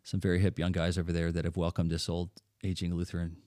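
Older man, low voice, animated and jokey, casual guest chat, dry close sound. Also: fast, no echo. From Netherlands. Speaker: pastor, podcast guest.

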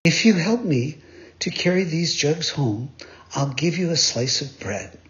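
A man voicing a kind little woman who speaks softly and gently. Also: a little high, lively intonation, a little slow. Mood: gentle. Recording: dull lo-fi recording.

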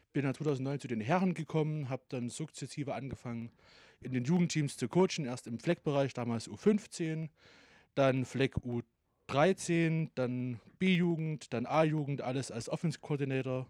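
A man's voice: medium pitch, a little mellow, no echo. A man in his thirties co-hosting a casual podcast with dry, teasing humor. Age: 30s. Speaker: podcast co-host and offense coordinator.

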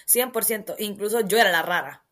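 A young woman from Honduras with a Honduran accent, a guest author telling stories in an animated, casual interview. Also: mid-range voice, a little fast. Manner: animated, storytelling with many fillers.

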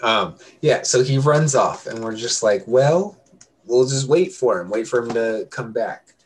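A man's voice: mid-range voice, lively intonation, unhurried, a little hiss or hum. A young adult man telling a story casually to friends, rambling and correcting himself as he goes. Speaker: podcast co-host telling a story.

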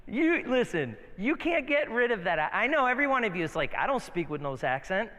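Man, American accent, high-pitched voice, informal preacher, animated storytelling, rhetorical questions, long hall reverb. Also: a little fast. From northeastern United States. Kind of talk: conversational sermon with Bible readings and anecdotes. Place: large reverberant hall, live sermon.

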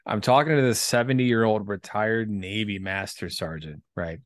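A man in his thirties talking through writing ideas casually, in a halting, reflective way with some salty language. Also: on the low side, no echo, clean studio sound. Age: 30s. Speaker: writer and performer, military veteran. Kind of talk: casual creative discussion.

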